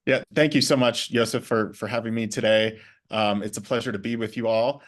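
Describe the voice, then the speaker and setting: A man speaking as a guest in a class talk, pausing often with ums and restarting words. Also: on the low side, fairly even intonation. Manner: hesitant, many ums and restarts. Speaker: guest speaker, bank vice president.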